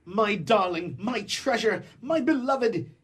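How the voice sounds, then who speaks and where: A man's voice: sits high, expressive, slow, husky, heavily acted. A man acting a love-struck, cynical young man in a comedy radio play, theatrical and florid, blustering and stammering. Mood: reckless ardour.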